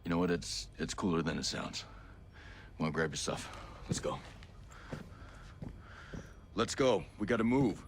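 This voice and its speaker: An actor playing a gruff, determined special forces soldier in a video game cutscene, terse and commanding. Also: male voice, sits low, lively intonation, measured pace, long pauses, slight background noise. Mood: urgent.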